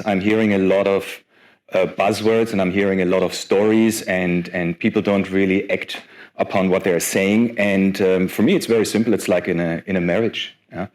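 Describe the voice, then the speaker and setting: Brief: man, 40s, low voice, guest speaker with a chatty, self-deprecating conference-talk delivery. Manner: lively, self-deprecating, chatty with frequent fillers.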